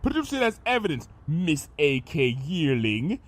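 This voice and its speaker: A man's voice: on the high side, sing-song, unhurried. A man playing a vengeful monster villain in an audio story, grandiose and menacing, with pompous, florid melodrama. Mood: smug, indignant. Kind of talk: dramatized fan fiction audio reading.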